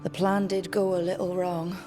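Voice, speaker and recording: A young female fantasy game hero, played by an actress, blunt and skeptical. Her voice sits on the low side and she talks casually and directly, with very loud music behind her. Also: level tone, moderate pace, lightly acted. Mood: concerned. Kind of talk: video game dialogue.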